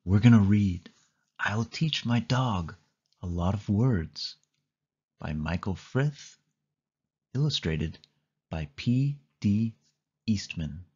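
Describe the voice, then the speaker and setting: Slow, sing-song man reading to kids. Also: lowish voice, slightly rough, muffled recording. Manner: slow, sing-song storytelling with lively rises and falls. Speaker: storybook reader. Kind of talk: children's read-along narration.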